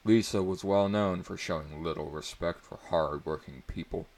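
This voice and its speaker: A man's voice: low-pitched, moderate pace, gravelly, a little mellow. A man narrating a first-person story as a dramatic reading.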